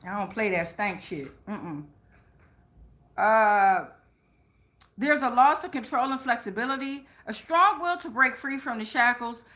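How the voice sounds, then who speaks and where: Female tarot reader, forceful bright tone, higher pitch; casual, rambling, profane, slang-heavy delivery. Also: expressive, a little slow. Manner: rambling, with frequent "right?" check-ins. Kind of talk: casual tarot reading monologue.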